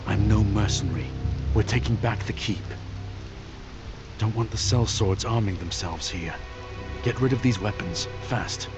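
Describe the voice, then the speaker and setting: Man as a low-voiced terse warrior. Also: unhurried, pauses often, close to an everyday voice. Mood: curt, firm. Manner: curt, understated. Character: terse, stoic fantasy warrior on a covert mission. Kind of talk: video game character acting. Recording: dull audio under music.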